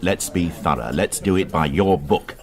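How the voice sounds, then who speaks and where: An actor with a British accent playing a middle-aged, brusque and exacting police inspector in a radio drama. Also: male voice, low-pitched, moderate pace, crowd noise, close to an everyday voice. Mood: probing, businesslike. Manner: curt, commanding, probing.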